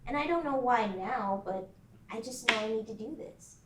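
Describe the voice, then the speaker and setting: A woman's voice: a little high, moderate pace, noisy background, close to an everyday voice. A woman at a table read voicing an eager, earnest teenage girl witch. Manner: earnest, excitable. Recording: roomy sound from a distant mic.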